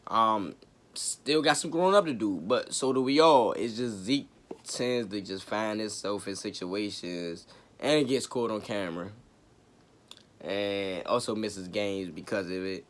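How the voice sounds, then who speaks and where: A man hosting his own sports talk show, raspy from a cold, chatting casually with slang and jokes. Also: fairly low pitch, unhurried. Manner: laid-back, joking, chatty with slang. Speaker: sports podcast host.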